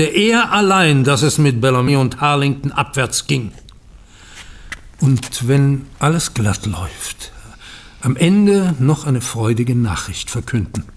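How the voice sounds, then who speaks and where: Audio drama casting: male actor as vengeful older banker, medium pitch, sardonic, emphatic. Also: lively intonation, unhurried, natural voice. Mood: sly, satisfied. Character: aggrieved, theatrical banker, slyly vengeful, older man. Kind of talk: German audio drama acting.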